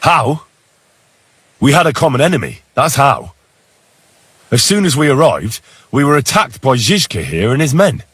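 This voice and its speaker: A forthright fighter in a game, raspy dry sound, direct and kinda indignant. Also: male voice, medium pitch, sing-song, normal speed, pauses often, fairly bright tone, no room sound, full character voice. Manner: argumentative, direct.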